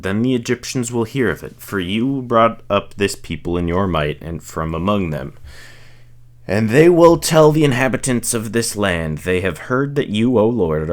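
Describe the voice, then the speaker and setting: A man reading scripture aloud as expressive narration, delivering the speeches in a solemn, declamatory way. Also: sits low. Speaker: reader of a Bible audiobook series.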